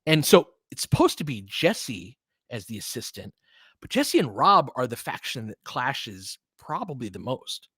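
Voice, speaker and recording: A man's voice: mid-range voice, wildly swooping pitch, measured pace, bright, forceful tone, slightly rough, no echo. A man giving casual, opinionated basketball commentary as a podcast host, emphatic and conversational, often checking in with rhetorical questions.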